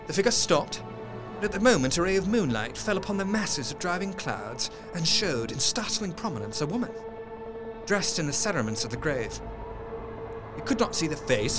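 A man playing an earnest, haunted Victorian gentleman, narrating a horror drama in a measured, literary and expressive way. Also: a little high. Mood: dread. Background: music.

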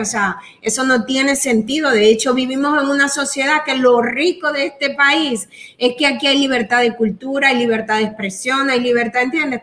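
A middle-aged woman with a Venezuelan accent, speaking as a guest in an interview. Her voice sits a little high, and she talks in long, earnest runs, leaning on little fillers as she goes. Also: bright, forceful tone. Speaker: guest, entrepreneur. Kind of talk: interview conversation.

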